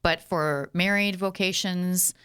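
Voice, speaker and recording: A woman's voice: lowish voice, steady tone, takes it slowly, a bit bright, clear, resonant tone. A woman hosting a casual studio interview, friendly and chatty, laughing easily. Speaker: talk show host.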